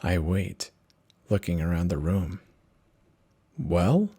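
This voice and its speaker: A man giving a theatrical, expressive dramatic reading of a first-person horror story, with dramatic pauses. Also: low-pitched, husky. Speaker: narrator of horror stories.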